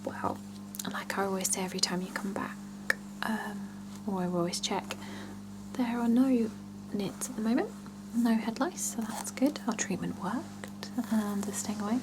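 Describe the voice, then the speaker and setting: A woman doing an ASMR salon roleplay, talking gently and reassuringly to a client in a breathy whisper mixed with soft voicing. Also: medium pitch, noisy background. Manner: slow, gentle, reassuring, with murmured pauses. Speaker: ASMR roleplayer playing a salon scalp-check attendant. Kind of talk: ASMR roleplay, one-sided chat with a client.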